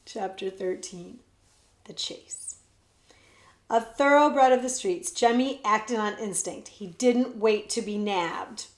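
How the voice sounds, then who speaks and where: A woman's voice: medium pitch, takes it slowly. Woman reading a storybook, echoey. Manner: lively, expressive reading. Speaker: librarian reading aloud. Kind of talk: storybook read-aloud. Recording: echoey room.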